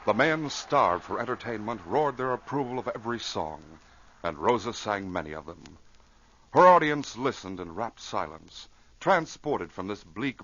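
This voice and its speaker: A man narrating an old-time radio adventure in a dramatic, measured storytelling style. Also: on the low side, a bit bright. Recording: dull, noisy vintage radio recording.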